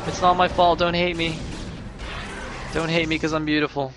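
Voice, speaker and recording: A hoarse young man doing solo play-by-play commentary on a game, chatty and rambling, jumping into excited bursts. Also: on the high side, moderate pace. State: losing his voice, a little sick. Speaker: esports caster. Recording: music playing underneath.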